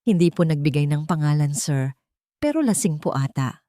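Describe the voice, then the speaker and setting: Woman doing a male security chief, worried, polite, urgent, low voice, dry. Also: expressive, normal speed, mellow, dry recording. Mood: anxious.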